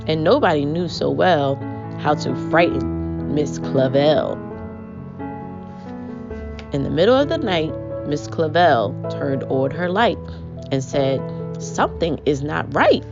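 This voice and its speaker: Woman, children's story reader, low lively voice, creaky edges, muffled sound over music. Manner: expressive, measured storybook delivery. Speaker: children's book reader, host. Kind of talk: read-aloud storytelling for young children. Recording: muffled audio with prominent music.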